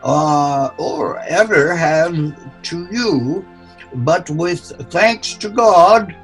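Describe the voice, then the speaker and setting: A man playing a deferential, self-justifying cardinal in an amateur Shakespeare reading, halting and stumbling over the verse. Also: on the high side, animated, a bit bright, heavily acted, rough recording. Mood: humble pleading. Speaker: amateur actor in an online play reading. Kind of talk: amateur Shakespeare read-aloud. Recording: online call with faint music.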